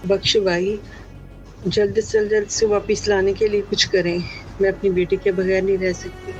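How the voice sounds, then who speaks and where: A woman's voice: mid-range voice, flat delivery, moderate pace, a little mellow, lo-fi sound, faint music behind, lightly acted. A woman playing a worried village mother. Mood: anxious. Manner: caring, anxious.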